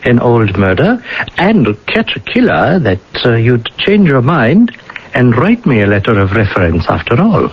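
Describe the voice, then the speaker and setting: Con-man professor, husky, lively intonation, ingratiating, brazen, radio-drama style. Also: mid-range voice, measured pace, full character voice. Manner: hesitant, ingratiating. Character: smooth-talking swindler professor, brazen and wheedling. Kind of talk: 1980s radio drama acting. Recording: dull, lo-fi old radio recording.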